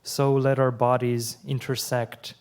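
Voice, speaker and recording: A young adult man from Iran, whose first language is Persian, reads his poems aloud in a measured way, with quiet asides between them. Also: medium pitch, little pitch movement, clean tone. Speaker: poet. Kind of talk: live poetry reading.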